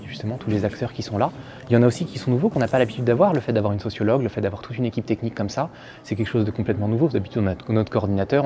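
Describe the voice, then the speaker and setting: A man's voice: lowish voice, warm tone, slight background noise. A man, a musician, explaining his experience of an artists' residency in an interview, talking fluently.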